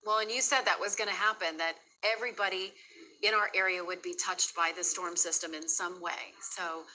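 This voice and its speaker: Bright-voiced American woman news anchor. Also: fairly low pitch, fairly even intonation, normal speed, slight background noise, low-quality recording. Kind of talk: live unscripted storm coverage. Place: TV studio.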